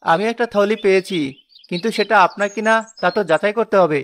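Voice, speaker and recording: A poor, honest porter acted by a man speaks in a high male voice. He is earnest, proud and plaintive, like a wronged humble hero in a cartoon folk tale. Music plays behind him. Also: fast, a bit bright, dry recording. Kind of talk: Bengali cartoon moral tale dialogue.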